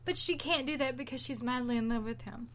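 A woman's voice: a little high, fairly quick, fairly bright tone, a little hiss or hum. A woman co-hosting a podcast, chatting casually and retelling stories in an informal, rambling, conversational way. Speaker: podcast co-host.

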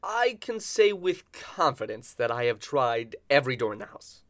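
A neurotic, wealthy mansion owner in an audio comedy. He rambles through his complaints with dramatic exasperation, his pitch swinging widely. The sound is close and dry. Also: sing-song, moderate pace, fairly bright tone, no echo. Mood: matter-of-fact. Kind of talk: audio comedy sketch acting.

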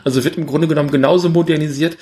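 Man chatting casually about films, halting. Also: fairly high pitch, steady tone, darkish tone. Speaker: podcast co-host reviewing films. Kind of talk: casual film review chat.

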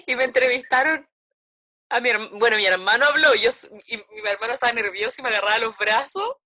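A woman's voice: fairly high pitch, sing-song, normal speed, pauses often, sharp tone. A Chilean woman co-hosting a podcast, chatting casually and telling stories wryly. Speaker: podcast co-host. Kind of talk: casual chat.

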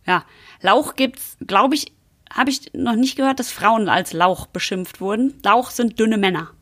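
A woman's voice: on the high side, measured pace, a bit bright. A middle-aged woman hosting a podcast in casual chat, playful and now and then slipping into a mock rapper tone. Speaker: podcast host.

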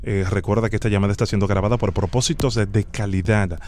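A man's voice: lowish voice, steady tone, fast talker, darkish tone, a little hiss or hum, lightly acted. A man playing a formal, bureaucratic immigration officer in a prank phone call, sternly polite and officious. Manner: formal, officious, repeats the name.

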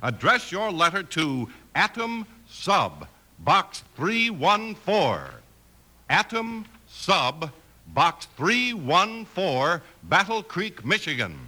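A man's voice: high voice, huge pitch swings, slow, bright, forceful tone. An American man announcing an enthusiastic, emphatic 1950s radio commercial for children. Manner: enthusiastic, emphatic sales delivery.

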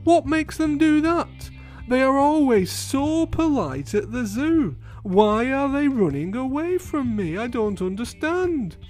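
A man voicing a gentle, polite male lion who is puzzled and speaks with soft courtesy. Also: very high voice, lively intonation, slow, soft background music, pitched above the performer's own voice, full character voice. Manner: bewildered, softly courteous.

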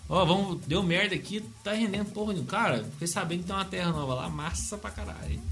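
Brazilian guy chatting, bright higher voice, rambles and swears, bit of music behind. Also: quick pace. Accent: Brazilian Portuguese. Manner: rambling, slangy and animated, swears a lot. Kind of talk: casual chat.

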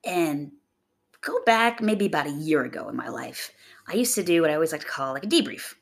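Raspy low-voiced woman with a chest cold. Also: lively intonation, brisk. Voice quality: gravelly, low voice. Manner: chatty, upbeat, rambling with fillers. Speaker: podcast host. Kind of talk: casual motivational monologue.

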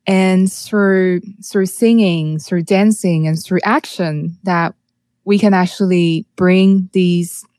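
A woman from Taiwan with a Taiwanese accent, speaking as an interview guest in a halting way, often repeating words as she searches for phrasing. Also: lowish voice, steady tone, a little mellow, no room sound, studio quality. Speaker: music producer, interview guest. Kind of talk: conversational interview, telling personal experience.

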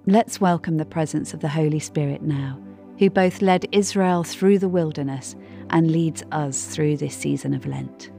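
Low-voiced woman reading a gentle devotional. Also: moderate pace, creaky, soft background music. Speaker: devotional reader. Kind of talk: scripted devotional narration.

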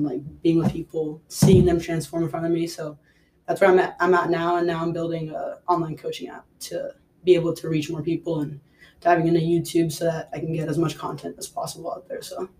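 A man's voice: fairly high pitch, a little mellow, rough recording. A young man from Mexico, a personal trainer, chatting casually as a guest and stringing thoughts together with lots of "like". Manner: rambling, filler-heavy, says "like" a lot. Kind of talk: casual podcast interview.

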